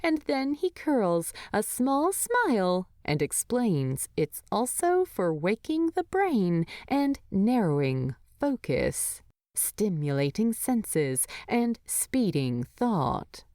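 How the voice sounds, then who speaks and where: A woman reads a story aloud with lively, varied intonation. Her pace is measured and her voice sits at a medium pitch. The recording is dry and intimate. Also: no echo. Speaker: fan fiction narrator. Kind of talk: expressive scripted story narration.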